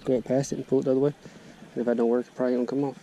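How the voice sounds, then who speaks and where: A man's voice: mid-range voice, flat delivery, racing, dark tone, highs cut off. A man in his twenties with a Southern US accent, chatting casually and folksily as a fishing vlogger, recorded outdoors. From Alabama, United States. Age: 20s. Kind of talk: casual vlog chat and commentary. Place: outdoors on a small boat on a river. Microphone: action camera, chest-mounted or at arm's length. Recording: noisy outdoor boat recording.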